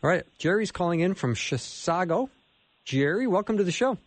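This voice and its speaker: A man's voice: high-pitched, expressive, moderate pace, no echo. A man with an American accent hosting a live radio call-in show in a casual, conversational style.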